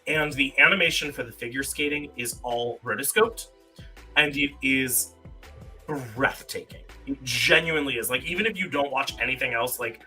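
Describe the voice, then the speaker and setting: A man's voice: medium pitch, normal speed, sharp tone, poor audio. An enthusiastic, emphatic man chatting casually and hosting a quiz on a live stream. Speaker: host of a live trivia game. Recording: faint music behind the voice. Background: faint music.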